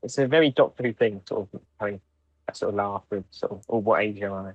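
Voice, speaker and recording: Low-voiced man, muffled dry mic. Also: quick pace, slightly rough, no room sound. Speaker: podcast co-host. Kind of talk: casual chat, fan review. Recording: dull, dry, band-limited microphone.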